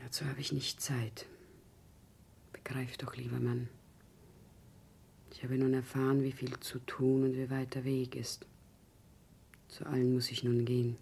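Radio play: tired, zealous common woman, gravelly edge, solemn and impassioned delivery. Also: a bass voice, completely flat delivery, measured pace, pauses often, mellow, full character voice. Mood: weary, resolute. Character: exhausted, fervent woman of the people on a crusade. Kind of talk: German radio play acting.

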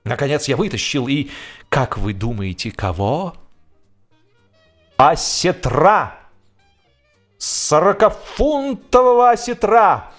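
Man as veteran fisherman, comic radio play, smug, self-important, mock-reluctant, unhurried, swooping pitch. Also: lively intonation, faint music behind. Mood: excited boasting. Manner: leisurely, self-important, feigned reluctance, repeats himself. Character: smug, respectable veteran angler spinning tall tales.

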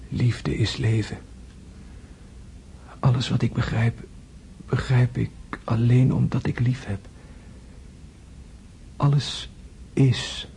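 An actor playing a gravely wounded prince in a radio drama, tender and philosophical, speaking in hushed, halting, weakened tones. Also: male voice, mid-range voice, a little hiss or hum. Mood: tender, yearning. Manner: hushed, halting, intense delivery, often whispering. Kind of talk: Dutch radio drama acting.

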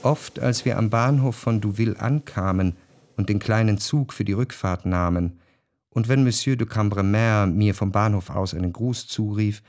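A male actor plays a reflective gentleman narrator looking back on his life. The voice is fairly low and recorded very dry. Long literary sentences unfold with measured, articulate care, as in a radio drama. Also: no room sound, close to an everyday voice.

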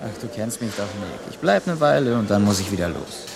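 Laconic drifter, secret lover, gravelly, animated pitch, guarded, radio drama. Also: male voice, fairly quick, a crowd in the background. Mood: guarded, casual. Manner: terse, laconic.

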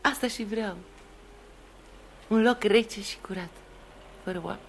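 A woman's voice: medium pitch, slight background noise, natural voice. An actress in a radio play voicing a melancholy, romantic woman with a wistful, poetic way of speaking. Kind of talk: Romanian radio theatre acting.

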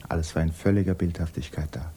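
Man acting detached storyteller, grave, low bass register, soft dark tone, vintage radio drama. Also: steady tone, quick pace, lightly acted. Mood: grave, subdued. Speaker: narrator. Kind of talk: 1950s German radio drama narration. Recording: hissy 1950s radio recording.

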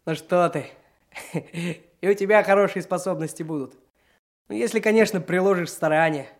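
Brash high-voiced young trainee. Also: male voice, normal speed. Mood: eager, breezy. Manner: chatty, cocky, colloquial. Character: brash, self-assured young Komsomol police trainee. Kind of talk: Soviet radio drama dialogue.

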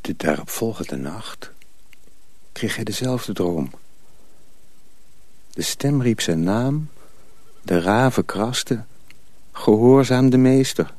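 A man's voice: lowish voice, lively intonation, takes it slowly, long pauses, a little mellow, dry recording. A man reading scripted story narration for a radio drama.

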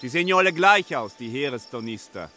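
A man's voice: medium pitch, expressive, fast, pushed and bright, slight background noise. An actor playing a grumpy, indignant man with an Austrian accent, blunt and exasperated, in a radio drama. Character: grumpy, indignant man demanding his property back.

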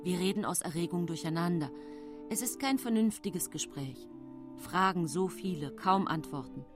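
An actress playing a secretive nineteenth-century woman poisoner who tells her own story in hushed, composed radio drama narration. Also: fairly low pitch, moderate pace, music in the background, close to an everyday voice. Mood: tense, watchful. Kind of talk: intimate first-person radio drama narration.

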